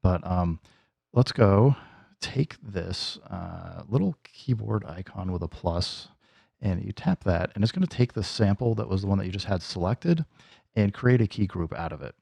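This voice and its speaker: Low, gravelly man giving a tutorial. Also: normal speed, mellow, no echo. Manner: informal, thinking aloud while demonstrating. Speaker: music gear tutorial host. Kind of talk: casual hands-on software tutorial.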